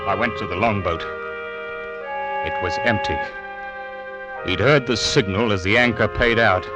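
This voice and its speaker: An actor playing a haunted, guilt-ridden sailing ship's captain in a vintage radio drama, brooding and confessional. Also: male voice, fairly low pitch, natural voice. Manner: slow, brooding, confessional delivery. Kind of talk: 1940s-50s radio drama acting. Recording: old radio recording with music.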